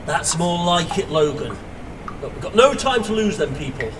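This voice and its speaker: A man's voice: fairly high pitch, big pitch swings, normal speed, noisy background. A man playing an exasperated father urgently giving orders in an audio drama. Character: exasperated, bossy father leading an escape. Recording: distant mic under loud music.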